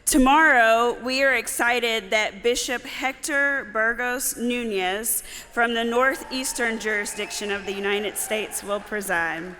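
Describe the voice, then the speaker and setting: A woman's voice: a little high, measured pace, hardly any pauses, bright, forceful tone, slight background noise. A woman on a church conference committee, making formal, upbeat announcements. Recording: long reverb in a large hall.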